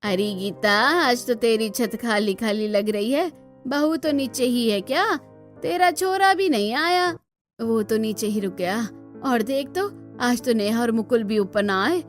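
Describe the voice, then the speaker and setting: A woman's voice: fairly high pitch, expressive, unhurried, soft background music. A woman voicing an earthy, teasing old village mother-in-law in a cartoon, with rustic, scolding speech. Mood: gossiping.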